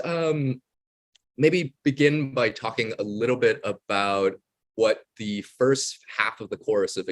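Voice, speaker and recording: A man hosting a student spotlight interview, giving introductions and asking polite, open questions in a conversational way. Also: lowish voice. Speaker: interview host. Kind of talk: polite scripted-then-casual interviewing.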